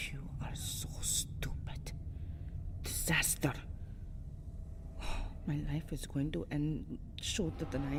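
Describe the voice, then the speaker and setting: Woman playing a low-voiced, flustered vampire girl. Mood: exasperated. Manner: stammering, flustered, pleading. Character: anxious, lovesick six-hundred-year-old vampire girl, servile to her mistress. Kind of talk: ASMR roleplay acting. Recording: clear background ambient noise.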